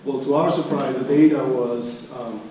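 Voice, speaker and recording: A man's voice: medium pitch, steady tone, mellow. Echoey, distant man giving an academic talk. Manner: halting delivery, repeats and restarts phrases. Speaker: researcher presenting at a conference. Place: conference room. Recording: echoey room, distant mic, background noise.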